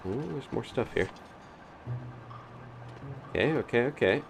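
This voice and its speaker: A man's voice: mid-range voice, lively intonation, measured pace, creaky, background noise. A man doing casual live commentary as he plays a video game, thinking aloud with playful reactions. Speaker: gaming live streamer.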